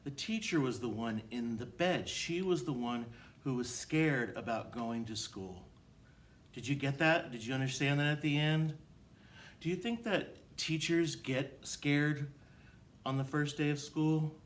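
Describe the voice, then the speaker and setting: A man's voice: medium pitch, measured pace. A male teacher reads a children's picture book aloud. His voice sits in a middle register, and he takes his time, speaking simply to young listeners. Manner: addresses children with simple questions.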